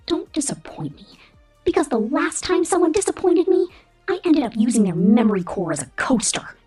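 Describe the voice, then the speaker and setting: Cruel female corporate robot played by a woman: husky, highly animated, condescending ASMR menace with whispered drops, music underneath. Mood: menacing, commanding. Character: cruel, haughty female disassembly robot acting as corporate supervisor. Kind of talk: ASMR roleplay, scripted villain monologue.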